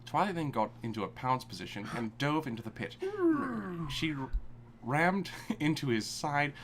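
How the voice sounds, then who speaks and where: Lively man reading fan fiction irreverently. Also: mid-range voice, moderate pace, a little hiss or hum. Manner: irreverent, breaks into swearing and amused asides. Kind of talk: live comedic story reading.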